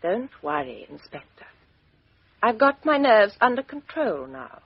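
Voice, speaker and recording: An actress playing a proud, bitter young English woman in a 1950s radio drama, sharp and emotional. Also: a little high, big pitch swings, a little slow, creaky, husky, no echo, heavily acted. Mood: composed. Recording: dull lo-fi 1950s radio recording.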